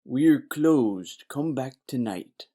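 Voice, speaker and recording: A man's voice: medium pitch, animated, slow pace, dark tone, faint music behind. A man playing a naive, gossipy young bartender in a noir audio play, earnest and emphatic. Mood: wary.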